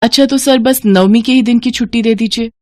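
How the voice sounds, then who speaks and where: A woman's voice: on the high side, fairly quick, dry recording, pitched above the performer's own voice, lightly acted. A woman voicing a dutiful female prison guard who speaks respectfully and pleadingly.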